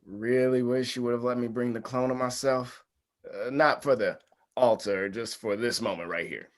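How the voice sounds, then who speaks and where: A man's voice: mid-range voice, steady tone, moderate pace, lightly acted. A man playing an uneasy, wry young groom in a comedy table read, hesitant and understated. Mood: reluctant, teasing.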